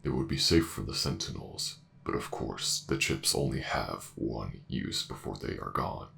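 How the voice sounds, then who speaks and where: Extremely deep, gravelly AI. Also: male voice, fairly bright tone, heavily acted. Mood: matter-of-fact. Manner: formal, measured, emotionless delivery. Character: ancient warship AI director, cold, logical, ruthless. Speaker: voice actor in an audio roleplay. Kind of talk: scripted sci-fi audio drama acting.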